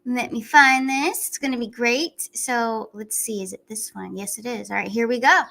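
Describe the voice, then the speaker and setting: A woman hosting a casual livestream, chatty and excitable, laughing often as she talks to her viewers. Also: on the high side, normal speed, gravelly. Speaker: livestream host.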